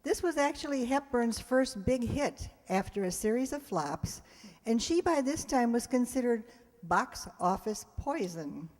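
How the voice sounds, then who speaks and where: A woman's voice: fairly high pitch, very animated, a little slow, a little mellow. A woman giving a chatty live introduction to a show, engaging her audience as host. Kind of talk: live spoken introduction with trivia and audience questions. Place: live hall in front of an audience. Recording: echoey hall with long reverb.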